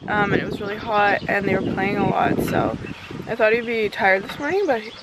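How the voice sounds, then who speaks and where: A woman's voice: medium pitch, brisk. A woman in her twenties chatting casually and informally in a rambling vlog, recorded outdoors on a camera held at arm's length. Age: 20s. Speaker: lifestyle vlogger. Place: mostly outdoors in a leafy backyard, briefly a home kitchen. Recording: outdoor background noise, handheld camera.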